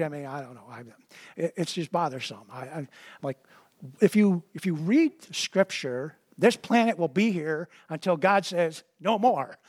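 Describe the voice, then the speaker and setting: A man preaching a sermon, reading scripture slowly and deliberately, then talking it over in a conversational way and often repeating words as he starts a sentence. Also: high-pitched, no room sound.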